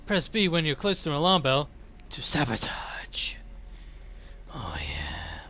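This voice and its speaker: A man's voice: fairly high pitch, wildly swooping pitch, a little slow, bright, forceful tone, slight background noise. A man casually commenting over his video game playthrough, goofy and playful, humming and making silly noises. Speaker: gameplay commentator. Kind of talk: casual let's-play commentary.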